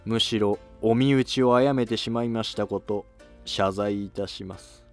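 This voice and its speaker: A man voicing a humble, resigned elderly scholar-official in an animated historical drama, courteous, measured and wry. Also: on the low side, faint music behind.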